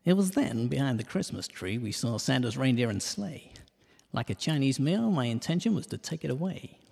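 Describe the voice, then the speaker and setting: A man playing a cheeky, self-mocking detective who narrates a comic audio drama in rhyme, landing puns deadpan. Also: medium pitch, moderate pace, gravelly, slightly rough, heavily acted. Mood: wry storytelling. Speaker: narrator and lead character. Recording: reverberant hall sound.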